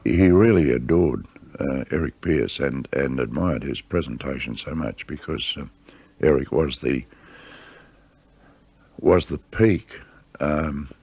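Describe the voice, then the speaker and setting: Very deep, gravelly man. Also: slow pace, darkish tone. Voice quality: gravelly, husky. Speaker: radio producer being interviewed. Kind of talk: informal interview recollection.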